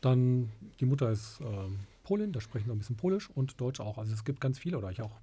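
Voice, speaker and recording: A man's voice: medium pitch, expressive, fast talker, dark tone, husky. A man, a teacher, leading a classroom discussion and asking questions, speaking hesitantly with many fillers.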